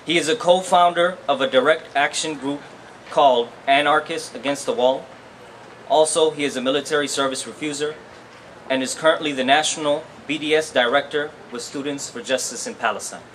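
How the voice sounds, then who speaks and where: A man's voice: on the high side, fairly quick, fairly bright tone. A man acting as event emcee, making announcements and reading out a formal introduction. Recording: noisy live event recording.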